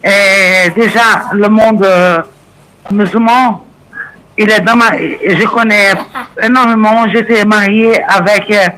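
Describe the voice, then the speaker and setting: A woman whose first language is Portuguese, calling in on the phone and speaking French haltingly, with many restarts and fillers. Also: medium pitch, steady tone, fairly bright tone, rough recording. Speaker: caller. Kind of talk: phone conversation.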